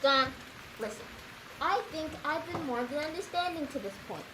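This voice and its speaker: A young woman playing a small-town sheriff in a stage comedy, stern and insistent. The voice is high and rings around the hall, with noticeable noise. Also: lively intonation, normal speed. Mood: stern warning. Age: teen. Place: school theatre stage. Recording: echoey noisy school stage.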